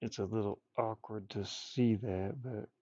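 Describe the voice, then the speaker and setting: A man's voice: fairly low pitch, fairly even intonation, unhurried, darkish tone, no echo, lo-fi sound. A man streaming casually as he draws, muttering and thinking aloud in folksy, informal speech. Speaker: streamer and hobby artist. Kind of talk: casual livestream chat while drawing.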